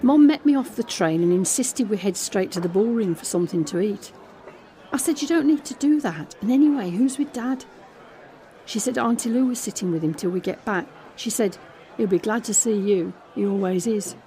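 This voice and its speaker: A woman's voice: mid-range voice, normal speed, warm tone, faint music behind, lightly acted. An actress playing a jaded, snobbish young British woman who confides private thoughts in a confessional, sardonic audio drama monologue. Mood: subdued, guilty. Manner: confessional, sardonic, muttering asides to herself.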